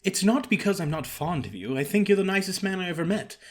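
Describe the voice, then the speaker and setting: A man's voice: high-pitched, expressive, quick pace, pitched above the performer's own voice. A man voicing a small, frank young chorus girl in an audiobook, chatty, earnest and plain-spoken. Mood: earnest, firm. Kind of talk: audiobook character acting.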